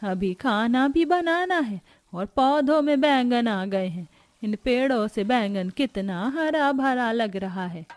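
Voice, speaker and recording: Woman playing a slow-spoken, weary poor grandmother. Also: a little high, lively intonation, dry recording. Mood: grumbling, tired. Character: poor, weary seventy-five-year-old grandmother, humble and hardworking. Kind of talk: Hindi cartoon story voice acting.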